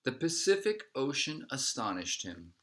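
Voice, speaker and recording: A man reading a picture book aloud as a narrator, in measured phrases that settle at the ends. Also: medium pitch, big pitch swings, a bit bright. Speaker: storybook reader.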